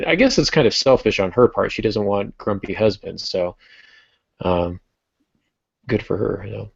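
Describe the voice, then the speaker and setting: A man's voice: sits low, creaky, breathy edge. A man hosting a casual podcast discussion about writing, recorded with a dull, roomy, lo-fi sound. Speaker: podcast host.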